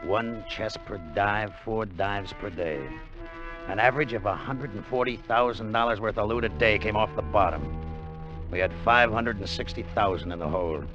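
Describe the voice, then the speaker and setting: An actor playing an excitable American salvage crewman and gambler who narrates his adventure in 1950s radio drama style. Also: fairly low pitch, normal speed, dull audio, close to an everyday voice. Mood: wry, hushed narration. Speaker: narrator and character. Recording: vintage radio with music behind.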